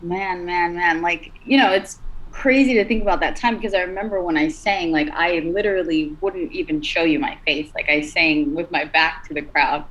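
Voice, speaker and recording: A woman singer with a raspy, rugged voice, chatting as a podcast guest, loose and full of "like" and "you know". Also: fairly low pitch, normal speed, poor audio. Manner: relaxed, many fillers like "like" and "you know". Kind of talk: casual interview talk.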